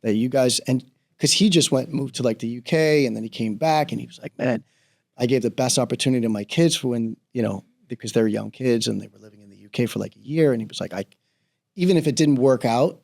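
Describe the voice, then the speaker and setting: A middle-aged man from the Bahamas, a real estate broker chatting as a guest in an interview, telling stories in a relaxed, rambling way. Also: medium pitch, quick pace, gravelly. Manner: relaxed, rambling storyteller, laughs easily. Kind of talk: casual interview conversation.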